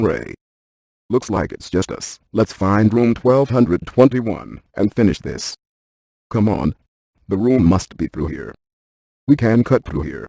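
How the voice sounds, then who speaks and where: A cautious, intellectual ghost-hunting scientist for a video game. The voice is low and mellow with a husky, gravelly grain. He stays deadpan and exact, as if reading out instrument data. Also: no room sound, exaggerated voice. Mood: tense, focused. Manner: deadpan, precise, technical. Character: brainy, deadpan paranormal scientist man, cautious and precise. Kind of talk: scripted video game character lines.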